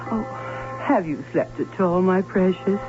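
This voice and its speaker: Actress as a prim, theatrical aunt on old radio. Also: female voice, lowish voice, close to an everyday voice. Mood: fussing, tender. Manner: dramatic, emphatic, deliberate pauses. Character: prim, theatrical spinster aunt and storyteller, anxious and fussy. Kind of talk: old-time radio drama acting. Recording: dull vintage radio recording, faint music.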